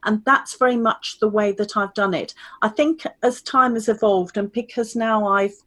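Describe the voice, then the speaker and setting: A woman who works as a speaking trainer, talking in an articulate, measured way as a podcast guest. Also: medium pitch, fairly bright tone. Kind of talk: conversational interview.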